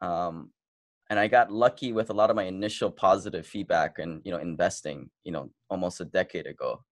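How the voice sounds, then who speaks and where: A man's voice: sits low, fairly quick, no room sound, clean studio sound. A man in his thirties hosting a podcast in casual chat, laid-back and full of fillers, a bit tipsy. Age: 30s. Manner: laid-back, uses lots of fillers like "you know". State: tipsy from drinking beer. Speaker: podcast host.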